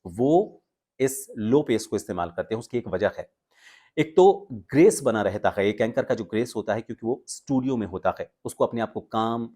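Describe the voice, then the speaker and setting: Measured man giving instruction. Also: medium pitch, darkish tone, breathy edge. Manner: measured, explanatory, deliberate phrasing with pauses for emphasis. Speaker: voice training instructor. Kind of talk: instructional talk on voice modulation, presenting to viewers.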